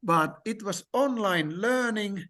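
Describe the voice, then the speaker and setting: A man whose first language is Finnish, talking slowly and hesitantly in a discussion, with many fillers and restarts. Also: high voice, lively intonation, pushed and bright. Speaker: language learner sharing experience. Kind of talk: conversational discussion.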